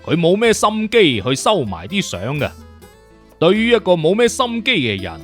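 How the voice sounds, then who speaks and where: A man's voice: high-pitched, wildly swooping pitch, moderate pace, a bit bright, soft background music, pitched below the performer's own voice, full character voice. A man voicing a cocky, teasing detective consultant in an audiobook, speaking slangy with a swaggering, mocking manner. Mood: smug.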